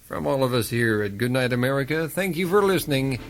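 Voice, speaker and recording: A man playing an earnest, formal television news anchor in satirical radio theater, hosting with measured, exasperated seriousness. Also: mid-range voice, lively intonation, a little hiss or hum, lightly acted. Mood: solemn sign-off. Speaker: host of a parody news broadcast.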